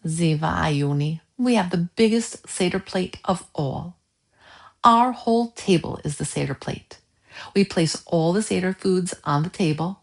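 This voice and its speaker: A proud elderly grandmother in a storybook, voiced by a woman. She explains family customs with fond affection. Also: fairly low pitch.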